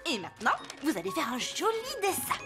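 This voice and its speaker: A woman's voice: high voice, wildly swooping pitch, brisk, exaggerated voice. A woman playing a nervous elf mother and schoolteacher in a cartoon, theatrical, flustered and falsely cheerful. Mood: reassuring, teacherly. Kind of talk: animated cartoon voice acting, French dub. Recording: music under the voice.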